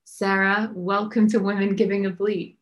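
A woman's voice: mid-range voice, flat delivery, normal speed. A woman hosting a podcast interview, friendly and conversational, with frequent "you know" fillers. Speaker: podcast host.

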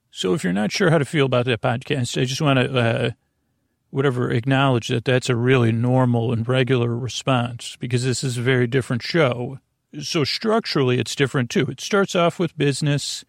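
A man hosting a sleep podcast in a rambling, goofy bedtime monologue, halting often, trailing off and restarting in friendly banter. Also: medium pitch, creaky, dry recording, clean studio sound.

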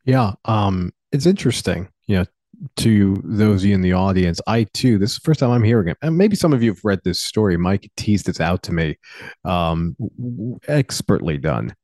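Low, mellow man musing thoughtfully. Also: expressive, moderate pace, no room sound, clean studio sound. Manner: thoughtful, hesitant, many ums. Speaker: show co-host. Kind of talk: casual reflective talk.

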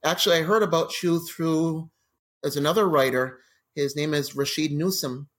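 Canadian guy in his 40s or so, guest on a podcast, telling funny travel stories, kinda high voice, acts stuff out. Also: normal speed. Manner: animated anecdotes with laughs and acted-out quotes. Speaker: guest, crime novelist. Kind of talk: casual interview storytelling.

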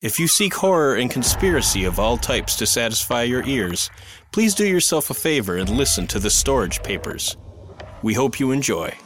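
A man's voice: medium pitch, very animated, moderate pace, background noise. A man reading a scripted podcast promo and introduction as the host.

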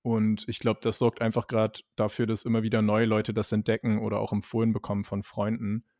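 A man's voice: on the low side, monotone, a little fast, a little mellow, dry recording. Dry-sounding, thoughtful podcast man. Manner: thoughtful, hesitant, with fillers and laughter. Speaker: podcast co-host. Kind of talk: casual chat reviewing a series.

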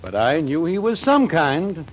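A dry, mocking shop boss in a 1940s radio comedy. His fairly high voice rises and falls dramatically, with deliberate punchlines and faint recording noise. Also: big pitch swings, rough recording. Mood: dry mockery. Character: dryly puzzled male drugstore owner, the boss.

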